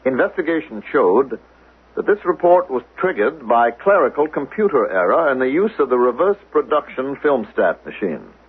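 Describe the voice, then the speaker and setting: An actor playing a controlled, officious investigator of a future state in a 1970s radio drama, speaking formally and precisely with a commanding manner. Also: male voice, mid-range voice, sing-song, normal speed, slightly rough. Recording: narrow, lo-fi old radio recording, faint noise.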